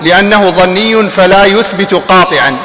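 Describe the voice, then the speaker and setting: A man reading short passages of a classical text aloud in measured recitation. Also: high voice. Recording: echoey hall.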